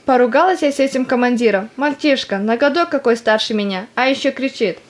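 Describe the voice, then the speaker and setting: A woman's voice: high-pitched, brisk, close to an everyday voice. A woman in an audio play acting a young, stubborn woman soldier who tells of the war in plain words. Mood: earnest recollection. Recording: faint background noise and ambience.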